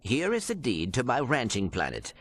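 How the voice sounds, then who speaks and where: An actor voicing a polite, earnest little robot secret agent in a video game, with formal, precise wording. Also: male voice, medium pitch, huge pitch swings, normal speed, a bit bright. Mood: folksy bluffing. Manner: formal, precise diction without contractions. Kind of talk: video game cutscene acting.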